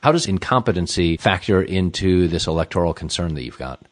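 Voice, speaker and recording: A man's voice: deep voice, lively intonation, brisk, no room sound, studio quality. A man hosting an interview show, reading scripted introductions and putting questions to a guest. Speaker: interviewer and host.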